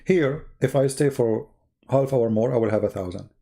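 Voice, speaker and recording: A man who speaks English as a second language, chatting casually and telling stories on a live stream in a halting, rambling way, often clearing his throat. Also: mid-range voice, warm tone. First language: not English.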